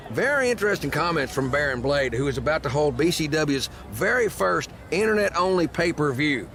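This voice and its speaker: An actor playing a conversational, opinionated wrestling news host, presenting scripted sports segments. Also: male voice, a little high, sing-song, fairly quick, fairly bright tone. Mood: informative.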